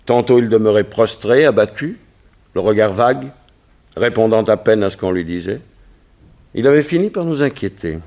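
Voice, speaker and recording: A man's voice: fairly low pitch, expressive, moderate pace, a little mellow. A man playing a middle-aged, troubled and dignified politician, in the formal, earnest manner of 1960s French radio drama. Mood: distressed. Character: troubled, dignified politician father of a teenage son.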